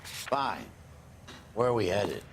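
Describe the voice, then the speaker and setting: An actor playing a gruff, jaded older male detective in a video game, with a gravelly, weary, curt delivery. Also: on the low side, big pitch swings, slow, noisy background, exaggerated voice. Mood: curt, irritated. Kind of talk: naturalistic video game acting.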